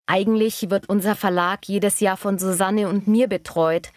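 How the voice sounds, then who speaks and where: Lady playing friendly publishing coworker, clear polite lesson dialogue, mid voice, dry sound. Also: medium pitch, steady tone, normal speed, no echo, close to an everyday voice. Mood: friendly, asking. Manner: clearly enunciated, courteous. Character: friendly woman publishing employee asking a colleague for help. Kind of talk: scripted language-lesson dialogue.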